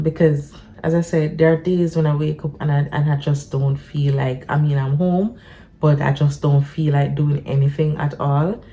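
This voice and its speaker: Jamaican woman, low-pitched and congested, halting casual delivery to camera. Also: dark tone, slight background noise. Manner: halting, with frequent restarts and fillers. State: stuffy, congested. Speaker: vlogger. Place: at home.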